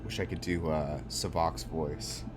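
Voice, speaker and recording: A man's voice: a bass voice, level tone, a little slow. A man giving casual, hesitant gameplay commentary, thinking aloud as he goes. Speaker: gaming video host. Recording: background music under close commentary.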